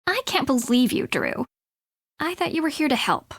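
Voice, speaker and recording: A brash young woman from a video game, with a high voice. She is blunt, sarcastic and impatient, and the recording is dry and close. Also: sing-song, normal speed, fairly bright tone, dry recording, full character voice. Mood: snarky, defensive. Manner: blunt, snarky.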